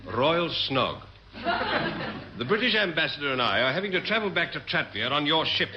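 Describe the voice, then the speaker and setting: Smooth dry government chap, lively highish voice, muffled old radio sitcom. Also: male voice, normal speed, noisy background. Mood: dryly amused.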